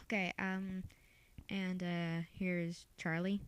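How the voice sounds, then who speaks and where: A woman's voice: lowish voice, level tone, takes it slowly, smooth, no room sound. A woman talking casually and hesitantly, keeping her voice down, as she introduces an amateur voice audition. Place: bedroom.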